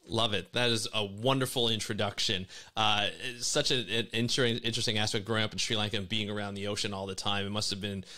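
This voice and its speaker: A man in his thirties hosting an online interview, upbeat and loose, with many restarts, speaking into a boom-arm mic in a home office. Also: steady tone, fast, few pauses, bright, forceful tone. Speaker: host. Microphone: headphones and boom-arm studio mic.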